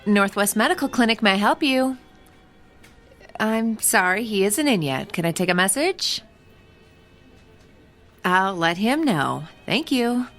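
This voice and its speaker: A polite clinic receptionist in a radio drama. She speaks slowly and very melodically, with faint music underneath. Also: mid-range voice, expressive, a bit bright.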